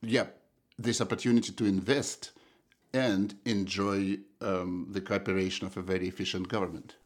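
Russian-accented man, halting interview answers. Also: sits low, flat delivery. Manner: thoughtful, halting with many restarts and fillers. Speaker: economist guest.

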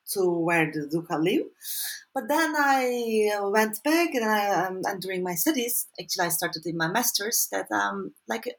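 Woman, turkish accent, author being interviewed, thoughtful and hedging, mid pitch. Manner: thoughtful, hedging, frequent small laughs. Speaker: author and anthropologist. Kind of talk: interview answers.

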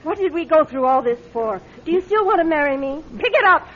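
A woman's voice: sits high, animated, brisk, fairly bright tone. An actress playing a determined, manipulative young woman in a classic radio drama, with an intense, insistent delivery. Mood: accusing, commanding. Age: young adult. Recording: muffled radio recording with noticeable noise.

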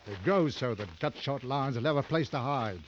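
An actor in an old radio drama playing a weary, sardonic big game hunter with a gruff, brooding manner. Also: male voice, mid-range voice, lively intonation, moderate pace, lightly acted. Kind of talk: 1940s-60s radio drama acting. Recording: dull, noisy old radio recording.

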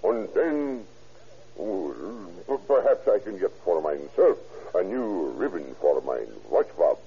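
A sinister scientist. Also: male voice, deep voice, big pitch swings, slow, breathy edge, exaggerated voice. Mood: sly. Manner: stammering, sly, with menacing chuckles. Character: sinister German scientist, middle-aged man, murderous. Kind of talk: 1940s radio acting. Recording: dull old radio recording.

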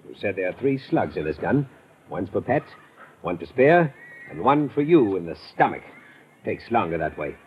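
Through clear noise on a dull old radio recording comes a smug villain with a mid-pitched, animated voice. He gloats with mock courtesy and deliberate menace, in vintage radio thriller style. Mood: menacing, smug. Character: smug, menacing male traitor villain holding a gun. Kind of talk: 1950s radio adventure acting.

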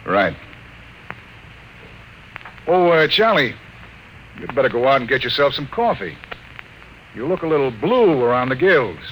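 An actor playing an authoritative, composed military colonel with a brisk manner, in 1950s radio drama style. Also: male voice, medium pitch, big pitch swings, background noise.

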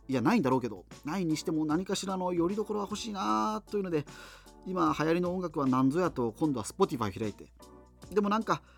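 An actor playing a nostalgic, wry radio host from the future, rambling conversationally through a monologue. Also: male voice, fairly high pitch. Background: music.

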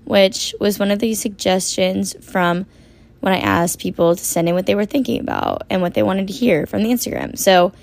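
Young woman podcaster, raspy tired voice, lowish pitch, super chatty, dry sound. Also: dry recording. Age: 20s. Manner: chatty, rambling, lots of "like". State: hoarse after a long work shift. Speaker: podcast host. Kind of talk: casual solo podcast chat.

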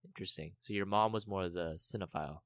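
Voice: sits low, fast talker, smooth, no echo, clean studio sound. A podcast co-host in casual chat, asking teasing questions.

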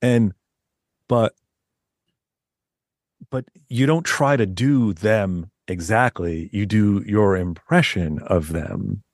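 A man with a deep voice, a guest author talking in a loose, rambling way with many restarts. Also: slow pace, pauses often, dry recording, clean studio sound. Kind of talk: casual interview chat.

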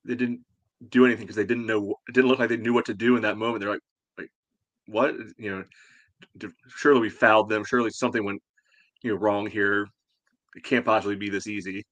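Bright, halting man in sports chat. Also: lowish voice, steady tone, dry recording. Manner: halting, repeats you know. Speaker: soccer fan podcast co-host. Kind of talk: casual sports recap discussion.

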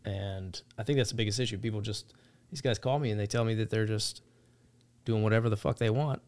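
A man's voice: on the low side, fairly even intonation, brisk, darkish tone. A man talking as a guest on a casual podcast, blunt and swearing freely about accountability.

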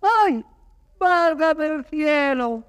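An actor playing a wretched, mortally wounded soldier, wailing classical Spanish verse in drawn-out phrases with a Castilian accent. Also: male voice, very high voice, lively intonation, fairly bright tone, exaggerated voice. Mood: pained. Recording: reverberant hall, vintage studio drama record.

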